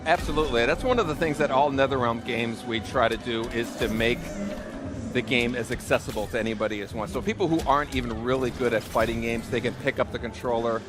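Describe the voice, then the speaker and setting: A man's voice: mid-range voice, fairly even intonation, fast. A man being interviewed as a game developer, talking informally in promotional style and often repeating words as he goes. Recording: loud music behind the voice. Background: loud music.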